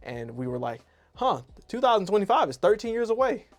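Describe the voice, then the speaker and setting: A man's voice: high-pitched, very animated, fairly quick. A man reviewing his year to camera in a casual, rambling, self-deprecating way. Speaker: YouTube artist and animator.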